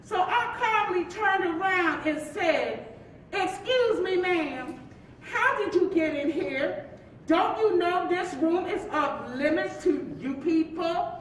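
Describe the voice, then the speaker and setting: A woman acting a sassy, chatty married friend from the 1950s in a staged play reading, telling things in an animated, gossipy way with folksy endearments. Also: slow, exaggerated voice. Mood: gossipy, amused. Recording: echoey hall from far off, faint rumble.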